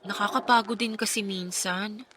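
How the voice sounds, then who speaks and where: A woman's voice: mid-range voice, fairly even intonation, moderate pace, bright, forceful tone, slight background noise, lightly acted. A woman acting as a sensible, frank young woman in a radio drama, speaking in an earnest, conversational way. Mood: sad, reflective.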